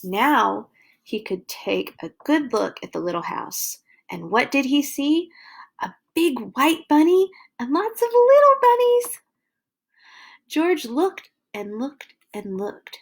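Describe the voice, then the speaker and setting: A woman hosting a read-along, reading a children's picture book aloud with animated, exaggerated emphasis for young listeners. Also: high-pitched, takes it slowly, creaky.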